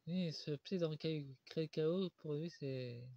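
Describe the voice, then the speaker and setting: A man with a cold, doing a solo tarot card reading, slowed by many pauses and fillers. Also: on the high side, dark tone, dry recording. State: stuffy with a cold. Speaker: tarot reader. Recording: dull lo-fi recording.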